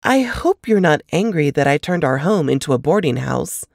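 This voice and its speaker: A woman voicing a practical, protective older sister in a novel reading, reassuring and gently bossy. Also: deep voice, sing-song, moderate pace, creaky, no room sound, studio quality, exaggerated voice. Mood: uncertain.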